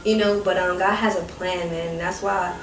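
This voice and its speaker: Young woman, soft voice, rambling guest storyteller; distant, echoey recording. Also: on the low side, steady tone, moderate pace. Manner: rambling, self-correcting storytelling. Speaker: guest, ministry founder. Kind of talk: personal testimony in casual chat. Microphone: distant mic. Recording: roomy, distant mic with background noise.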